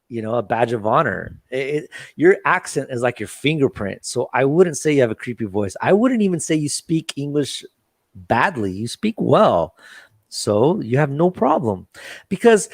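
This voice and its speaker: A man's voice: medium pitch, slightly rough, no echo. Panel guest, American man, mid voice, reassuring teacher, dry room. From United States. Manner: earnest, reassuring, repeats himself. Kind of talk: casual panel chat.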